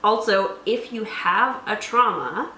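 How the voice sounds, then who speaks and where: A woman's voice: medium pitch, slow, sharp tone. A woman hosting a casual explainer, talking through tabletop game rules. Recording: echoey room, faint background noise.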